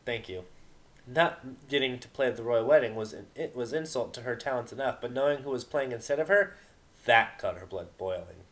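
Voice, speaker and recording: Casting: male amateur narrator, medium pitch, expressive and informal, for dramatic story reading. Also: fairly quick. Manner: expressive, informal, stumbles over the text.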